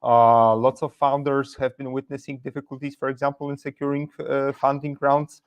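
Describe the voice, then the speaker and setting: A man hosts an online interview over a video call. His voice sits at a middle pitch and comes through slightly muffled. He talks formally and stops often on "uh". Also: flat delivery, normal speed, smooth. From Poland. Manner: formal, hesitant with "uh" fillers. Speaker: webinar host.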